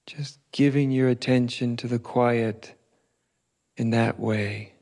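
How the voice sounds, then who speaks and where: A male meditation teacher guides listeners with a soft, relaxed tone. His pitch stays very even, and he moves slowly through short phrases, leaving long, unhurried pauses between them.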